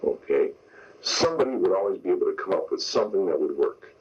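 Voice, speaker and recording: Deep, husky older man reminiscing. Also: sing-song, fast, a little mellow. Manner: explanatory, step by step. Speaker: veteran radio sound effects man, interview guest. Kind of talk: interview reminiscence. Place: radio interview. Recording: dull lo-fi radio broadcast tape.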